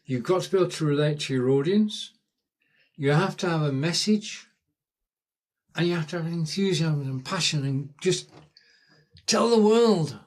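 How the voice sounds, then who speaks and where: A man's voice: fairly high pitch, animated, normal speed, pauses often. A man from Lancashire with a northern English accent, hosting a casual live stream and chatting with viewers in a rambling, friendly way. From Lancashire, England. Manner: chatty, thanks viewers by name, rambling asides. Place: home in rural England.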